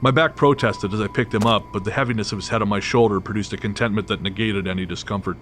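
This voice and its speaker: A man narrating a scripted first-person horror story in a measured, literary storytelling manner. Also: lowish voice, steady tone, noisy background.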